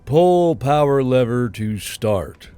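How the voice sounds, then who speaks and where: A man's voice: mid-range voice, animated, takes it slowly, clean tone. Cautious practical engineer voiced by a man, muttering. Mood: focused. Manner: muttering, quiet, instructing. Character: cautious, practical male engineer testing a machine. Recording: music behind the voice.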